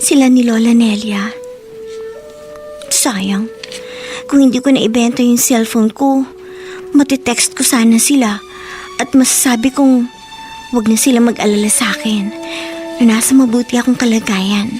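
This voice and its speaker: An actress in a Filipino radio drama playing a shy, secretive teenage housemaid, polite and timid in manner. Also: female voice, on the high side, steady tone, a little slow, pauses often, a little mellow, faint music behind, lightly acted. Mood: wistful. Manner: timid, stammering, deferential.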